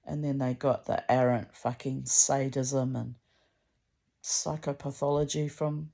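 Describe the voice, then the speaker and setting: Middle-aged woman, deep voice, heavy vocal fry, dark mellow tone, candid sweary confessional rambling, dramatic delivery. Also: flat delivery, measured pace. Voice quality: very deep, gravelly voice. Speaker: personal vlogger.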